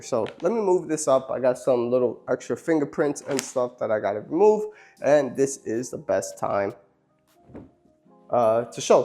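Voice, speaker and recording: Man, nasal from a cold, relaxed chatty host, mid-pitched voice, filler-heavy demo talk, faint music under. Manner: relaxed, chatty, with frequent ums and uhs. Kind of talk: casual product demonstration. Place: beside a car, cleaning its windshield.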